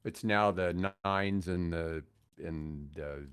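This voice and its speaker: A man's voice: deep voice, takes it slowly, clean tone. A man in his sixties telling stories casually as a podcast guest, rambling and circling back to rephrase. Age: 60s. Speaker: podcast guest, former radio broadcaster. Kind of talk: casual storytelling in a podcast interview.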